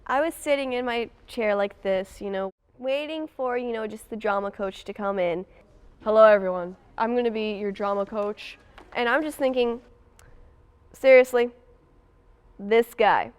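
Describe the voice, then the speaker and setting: A young woman chats in an interview, telling a story in casual youthful slang. Her voice is fairly high and very clean. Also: unhurried, clean tone. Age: young adult. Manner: animated quoting, youthful slang. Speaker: student actor.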